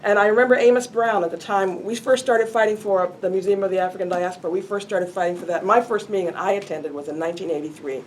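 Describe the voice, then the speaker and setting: A woman's voice: medium pitch, steady tone, quick pace, few pauses, slight background noise. An older woman, an arts commissioner, speaking earnestly and emphatically at a city hall community meeting. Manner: emphatic, earnest, self-described loud voice. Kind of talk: public meeting statement.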